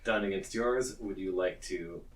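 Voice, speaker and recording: A man's voice: low-pitched, a little slow. A man acting as game master, casually explaining tabletop game rules to players, picked up from a distance. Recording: distant mic pickup.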